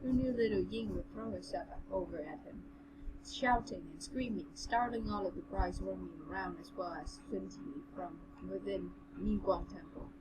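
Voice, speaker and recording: A woman with a mid-pitched voice co-hosts a read-along of a novel. She is informal and playful in her reading and commentary. The home recording has clear background noise. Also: normal speed. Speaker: co-host and reader. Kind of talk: novel read-aloud with casual, joking commentary.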